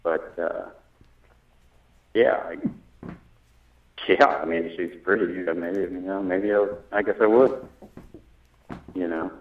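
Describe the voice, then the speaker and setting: A man's voice: low-pitched, fairly even intonation, slow pace, pauses often. A man on a telephone, chatting casually in a hesitant, tentative way and often trailing off, heard over a tinny, echoey line. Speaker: job applicant on a phone call. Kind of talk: casual phone conversation.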